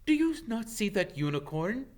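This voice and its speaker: A man voicing a noble, grave centaur with measured, formal, earnest speech in a storybook reading. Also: high voice, very animated, darkish tone, voice pushed up. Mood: defiant anger. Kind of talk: dramatic audiobook character reading.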